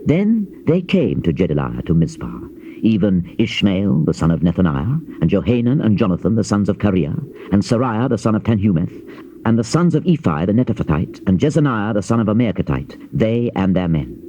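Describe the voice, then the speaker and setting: Male scripture narrator, mellow and expressive, solemn measured storytelling, on a dull old cassette. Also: fairly low pitch. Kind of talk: dramatized King James Bible reading, scripted narration. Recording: dull, hissy old cassette tape transfer.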